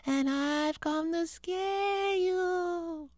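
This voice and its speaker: Guy doing a teeny spooky ghost, high wobbly oohs, super slow, dry close mic. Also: dry recording, heavily acted. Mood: spooky, teasing. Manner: high wavering drawn-out ooh sounds. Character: small spooky wailing ghost, playful.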